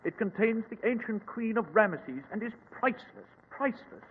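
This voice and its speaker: Museum director: fussy, formal, high for a man; echoey radio drama with light hiss. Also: animated, normal speed. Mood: officious. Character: officious, earnest male museum director. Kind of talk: old-time radio drama acting. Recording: echoey, hissy, tinny old radio recording.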